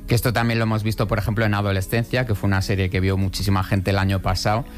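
A man's voice: fairly low pitch, little pitch movement, racing, soft background music. A man working as a film critic on a radio show, talking conversationally about films.

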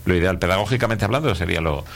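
Very deep-voiced Castilian Spanish man, slightly creaky. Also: very fast. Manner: chatty, rambling, often ends phrases with a questioning tag. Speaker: radio host interviewing schoolchildren. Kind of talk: casual conversational interview.

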